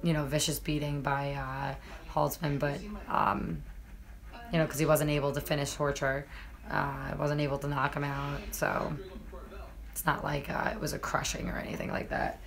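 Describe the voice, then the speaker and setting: A slightly hoarse woman hosting a casual live stream about fights, chatting to viewers with lots of fillers. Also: low voice, little pitch movement, moderate pace, crowd noise. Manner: chatty, many fillers, addresses viewers directly. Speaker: MMA journalist and live-stream host.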